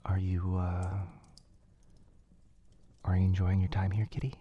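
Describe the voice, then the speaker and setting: A man playing a kind, flustered young wizard in an intimate boyfriend ASMR roleplay, speaking softly and gently with some hesitation. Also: low voice, monotone, a little slow, muffled recording. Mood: fond, chatty.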